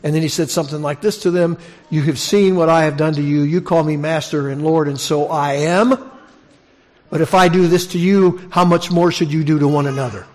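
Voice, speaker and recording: A man's voice: fairly high pitch, steady tone, brisk. A man giving a conversational expository sermon as a preacher, posing questions to his listeners and repeating phrases for emphasis. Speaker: preacher teaching a congregation.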